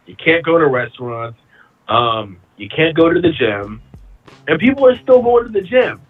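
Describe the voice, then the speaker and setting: Husky, blunt man in his thirties. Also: a little high, phone-quality sound. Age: 30s. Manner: emphatic, blunt, repeats himself while building a point. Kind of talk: casual chat.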